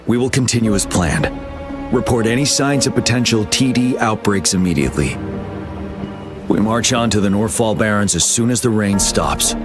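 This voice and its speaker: An actor playing a stern, composed army general in a video game, with a measured, commanding manner. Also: male voice, lowish voice, music in the background, close to an everyday voice.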